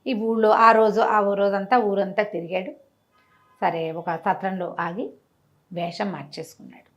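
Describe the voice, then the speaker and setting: A woman telling a moral story conversationally, with animated delivery, rhetorical questions and everyday examples. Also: medium pitch, unhurried, dull audio. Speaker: storyteller, interview guest.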